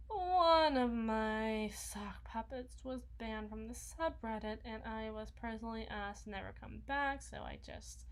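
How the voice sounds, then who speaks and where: A woman's voice: fairly high pitch, fairly bright tone. A woman voicing an awkward, needy, housebound young vampire in an ASMR roleplay, rambling and stammering as she corrects herself. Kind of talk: intimate ASMR roleplay monologue.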